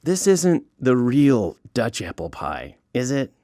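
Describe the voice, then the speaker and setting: Animated American man narrating a comic audiobook. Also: medium pitch, slow, no room sound, studio quality. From United States. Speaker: author narrating his own audiobook, comedian. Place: recording studio.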